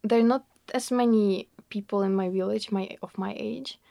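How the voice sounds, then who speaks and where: Young Russian-accented woman, gravelly. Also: medium pitch, steady tone, normal speed, dark tone, dry recording, clean studio sound. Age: young adult. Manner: earnest, fillers like "like" and "you know", laughs often. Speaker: interviewee. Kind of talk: casual conversational interview.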